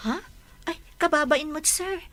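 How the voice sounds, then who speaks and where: A woman's voice: sits high, unhurried, pushed and bright. A woman with an Ilocano accent playing a shy, polite young woman in a radio drama. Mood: bashful. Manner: bashful, polite.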